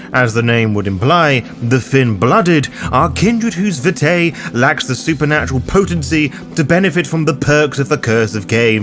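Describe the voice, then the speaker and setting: A man narrating a scripted guide as its host, with a theatrical, deliberate delivery and dry, irreverent asides. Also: mid-range voice. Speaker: host of a roleplay guide show.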